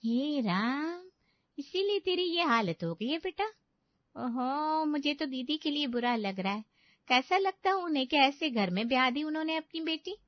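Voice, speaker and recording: A woman acting an outspoken middle-aged aunt from a family story, high-pitched and full of scolding exclamations. She is affectionate and indignant at once. The sound is dry and a little dull. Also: lively intonation, normal speed, no room sound. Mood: indignant concern. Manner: scolding with exclamations and motherly concern.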